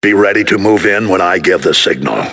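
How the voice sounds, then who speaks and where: A man voicing a noble, grave robot commander in a video game, solemn, commanding and measured. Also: low voice, animated, creaky, a bit bright, exaggerated voice. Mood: solemn resolve.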